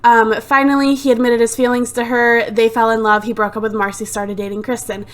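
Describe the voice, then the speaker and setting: A fairly high-voiced woman talking through a life-sim game in a loose, chatty way, full of "um" and "like". She has a sore throat and sounds slightly phlegmy. She is recorded close on a desktop USB mic. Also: level tone, a little fast, fairly bright tone. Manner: chatty and rambling, lots of "like" and "um". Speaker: let's play host. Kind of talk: casual gameplay commentary. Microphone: desktop USB microphone.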